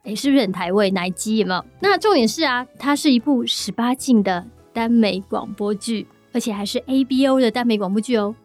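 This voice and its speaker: A woman's voice: fairly high pitch, expressive, fairly quick, hardly any pauses, a little mellow, soft background music. A woman with a Taiwanese Mandarin accent hosting a casual, chatty podcast in a playful, teasing way. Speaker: podcast host and audio drama studio head.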